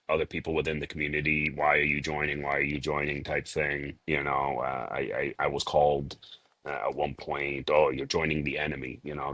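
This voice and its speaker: Very deep-voiced man with a Boston accent. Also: sharp tone, dry recording. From Liberia. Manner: halting, many fillers and restarts. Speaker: interview guest. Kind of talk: casual interview talk.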